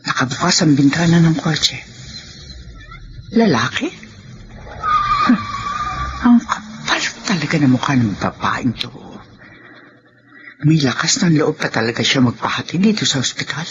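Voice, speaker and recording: A resentful Filipino mother-in-law in a radio drama. Her pitch rises and plunges as she scolds and accuses with biting sarcasm. The recording is dull, with a faint layer of noise. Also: lively intonation, measured pace, pauses often. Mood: suspicious, indignant. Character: bitter, accusing middle-aged or older mother-in-law.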